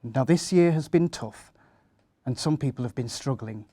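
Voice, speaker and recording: A man reading a rhyming children's story aloud in an expressive storytelling style. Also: medium pitch, normal speed, dry recording, clean studio sound.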